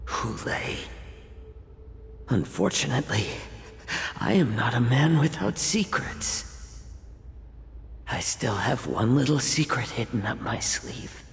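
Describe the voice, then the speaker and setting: A weary fox-eared healer in a fantasy game, stern but ready to give himself up. His mid-pitched delivery is slow, measured and grave. It rings with long reverb over music. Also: gravelly. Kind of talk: dramatic game voice acting.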